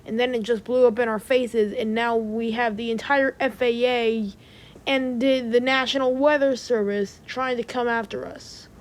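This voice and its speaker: Exasperated woman as a stressed rocket staffer, higher voice. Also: fairly even intonation, normal speed, lightly acted. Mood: defeated, bitter. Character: stressed rocket company staffer in a disaster drama. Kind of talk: amateur audio drama dialogue, naturalistic.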